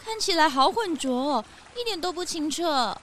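A woman with a Taiwanese accent voicing a gentle, timid female deer in a children's audio drama, sweet and expressive. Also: high-pitched, moderate pace, a little hiss or hum. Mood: dismayed.

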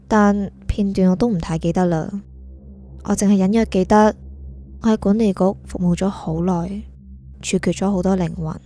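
A woman's voice: mid-range voice, mellow, close to an everyday voice. A woman playing a dutiful young soul prosecutor in a radio drama, clipped, rule-bound and defensive in manner. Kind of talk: Cantonese radio drama acting.

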